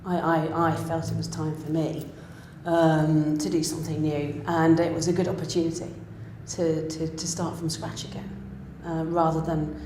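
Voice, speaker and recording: A woman's voice: sits low, flat delivery, normal speed, few pauses. A middle-aged British woman who writes and directs pantomime, speaking in an interview in a reflective way with many restarts. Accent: British English. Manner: reflective, with restarts and self-questioning. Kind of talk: interview chat. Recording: echoey room with faint noise.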